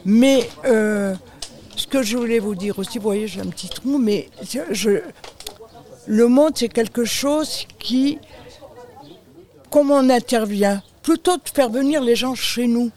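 A woman's voice: fairly high pitch, unhurried, a little mellow. A woman from France, speaking spontaneously and emphatically as a charity representative, often addressing the listener directly. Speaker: aid charity representative, interview guest. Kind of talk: spontaneous interview explanation.

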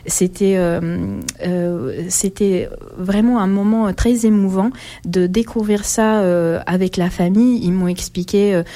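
A woman, a guest answering interview questions in a halting, thoughtful way. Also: mid-range voice, steady tone, warm tone. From Italy. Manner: halting, thoughtful, many pauses.

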